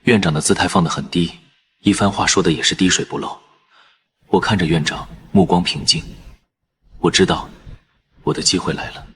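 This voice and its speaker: A man narrating in the first person as a calm surgeon, in measured scripted narration. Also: deep voice, a little hiss or hum. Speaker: narrator and lead character, a surgeon.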